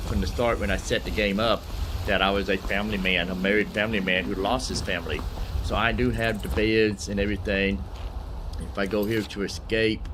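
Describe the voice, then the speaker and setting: A man's voice: lowish voice, little pitch movement, a little fast, noisy background. A man hosting a gaming video, giving casual, rambling commentary as he thinks aloud while playing. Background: game music.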